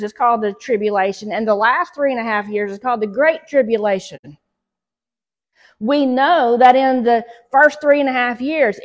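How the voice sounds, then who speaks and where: A middle-aged Southern woman leads a church Bible study at an unhurried pace. Her voice sits a little high, and she reads scripture aloud before explaining it with pauses and fillers. She is picked up by a camera across a small fellowship hall. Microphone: far camera.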